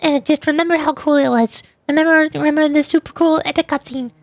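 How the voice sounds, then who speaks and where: High-voiced woman streamer chatting. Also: steady tone, fast, no echo, faint music behind. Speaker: VTuber game streamer. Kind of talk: casual livestream chat.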